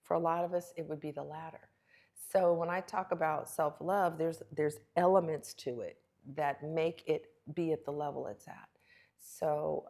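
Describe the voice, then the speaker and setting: Low-voiced woman explaining with emphasis. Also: darkish tone. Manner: emphatic, rhetorical questions, acts out quoted remarks. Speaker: guest relationship expert and author. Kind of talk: animated interview talk, teaching with role-played questions.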